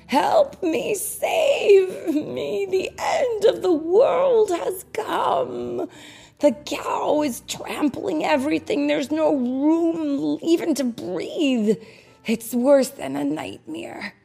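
A woman's voice: expressive, a little slow, creaky, warm tone, faint music behind, full character voice. A woman crying out as a frantic poor man. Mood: panicked. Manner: high, cracking cries. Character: desperate poor old man, panicky, pleading.

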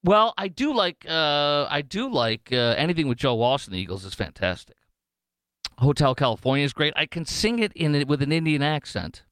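A man's voice: on the high side, sing-song, normal speed, fairly bright tone, clear, resonant tone, no echo. A man with an American accent hosting talk radio in an opinionated, jokey, emphatic way, often wandering off on digressions. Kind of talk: unscripted talk radio monologue with live ad reads.

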